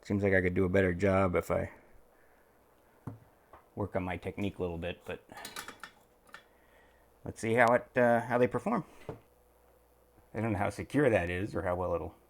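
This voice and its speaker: A man giving casual, hands-on how-to commentary, halting as he thinks aloud while he works. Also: sits low, steady tone, gravelly, a little hiss or hum. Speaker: host of a repair tutorial channel.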